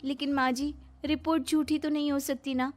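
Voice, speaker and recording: A woman's voice: high voice, little pitch movement, normal speed, lightly acted. A woman voicing a caring, reasonable young daughter-in-law in a cartoon moral story, polite and explanatory. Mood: explaining. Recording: music behind the voice.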